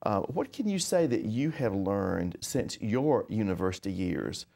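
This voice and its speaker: A man with an American accent hosting a conversational interview, speaking in a deliberate, slightly formal, phrase-by-phrase manner. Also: fairly low pitch, sing-song, gravelly, a little mellow. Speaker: interview host.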